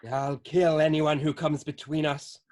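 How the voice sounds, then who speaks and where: A man playing a caddish young lover in a stage play reading, melodramatic and at times halting. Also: on the high side, little pitch movement, a little mellow, close to an everyday voice.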